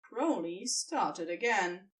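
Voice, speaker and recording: A woman narrating scripted fan fiction as an expressive storyteller, recorded slightly away from the microphone. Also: medium pitch, takes it slowly, distant mic.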